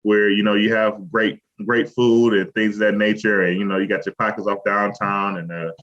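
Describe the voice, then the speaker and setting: A man who owns a restaurant, talking in a relaxed, rambling way with lots of fillers as an interview guest, from Cleveland. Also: on the low side, moderate pace. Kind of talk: casual interview talk. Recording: lo-fi muffled audio.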